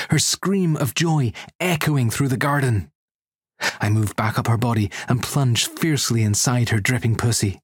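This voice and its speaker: A man narrating an erotic audiobook in an intimate, husky voice. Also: mid-range voice, no echo, clean studio sound. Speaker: story reader.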